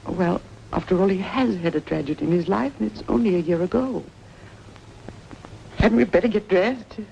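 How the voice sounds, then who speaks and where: An actress playing a kindly, gossipy older aunt in a mid-century drama, confiding and hesitant in a creaky voice. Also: female voice, medium pitch, normal speed, dark tone, lightly acted. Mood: cautioning. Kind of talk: mid-century American film drama acting. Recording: dull, noisy vintage soundtrack.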